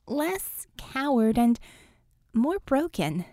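A woman's voice: high-pitched, very animated, extremely slow, dark tone, dry recording, studio quality, pitched above the performer's own voice, heavily acted. A woman voicing an outspoken, feisty young female superhero with a blunt, informal and emphatic way of talking in an audiobook. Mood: earnest, tentative.

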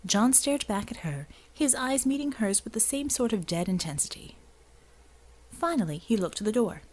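A woman's voice: on the high side, lively intonation, normal speed, darkish tone. A woman reading scripted, dramatic narration as an audiobook narrator.

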